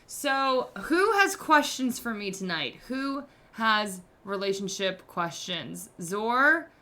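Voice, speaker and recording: A woman's voice: medium pitch, big pitch swings, takes it slowly, bright, forceful tone. A woman in her thirties hosting a podcast, giving candid relationship advice in a casual, self-mocking way, full of "like". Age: 30s. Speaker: podcast host.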